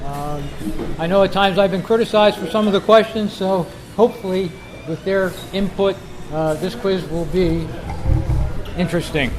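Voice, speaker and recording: A man with a high-pitched voice runs a quiz for a club gathering. He addresses the group informally and reads each question out to them. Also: normal speed, background noise. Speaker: quiz master. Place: indoor club meeting.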